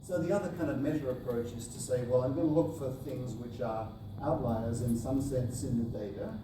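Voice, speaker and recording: A man from Australia with an accent halfway between Australian and Canadian, giving a measured, explanatory academic lecture as a professor. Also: mid-range voice, steady tone. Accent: Australian softened by years in Canada. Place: indoor lecture room, picked up from far off. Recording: echoey room, distant mic, clear background noise.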